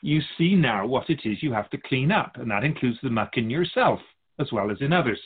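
A wise otherworldly keeper of souls from a radio drama acted by a man. He muses in a formal, philosophical way with gentle wryness, his voice rising and falling freely and slightly husky. It comes through a thin, phone-like band. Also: mid-range voice, lively intonation, fairly quick. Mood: earnest, instructive. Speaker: spirit guide being interviewed. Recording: muffled phone-like narrow band.

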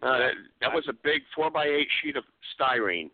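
A man's voice: medium pitch, lively intonation, normal speed, no room sound. A man speaking as a special effects artist and guest in a casual interview. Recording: tinny phone line.

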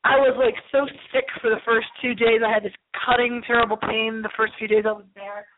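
A woman's voice: fairly high pitch, level tone, brisk, a little hiss or hum. A woman hosting a paranormal radio show, rambling casually and chattily with a theatrical, dramatic delivery. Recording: thin, phone-like radio call-in audio.

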